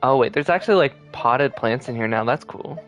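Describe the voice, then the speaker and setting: A man livestreaming a game, chatting casually with viewers and thinking aloud in a relaxed way. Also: mid-range voice, fairly quick. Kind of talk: casual live gameplay chat with viewers. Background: video game music.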